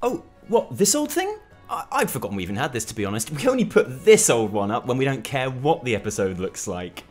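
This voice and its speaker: Animated British man, sarcastic comic host. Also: mid-range voice, fairly quick. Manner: theatrical, sarcastic. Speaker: gaming news show host. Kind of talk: scripted comic news commentary. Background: background music.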